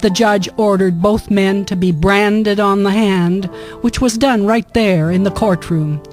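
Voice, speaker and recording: A woman narrates a historical radio drama from a script. Her voice sits at a middle pitch, with light instrumental guitar behind it. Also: a little slow, a little mellow.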